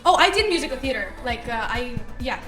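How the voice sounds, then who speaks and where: A woman's voice: a little high, lively intonation, brisk, music in the background. A woman in her twenties from Russia, a guest actor chatting casually, laughing often and trailing off with fillers. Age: 20s. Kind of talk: casual interview chat.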